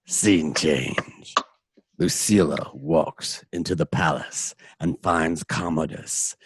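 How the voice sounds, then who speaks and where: A man's voice: very deep voice, slow pace, breathy edge, dry recording. A man narrating an amateur radio drama, reading out scene changes and stage directions.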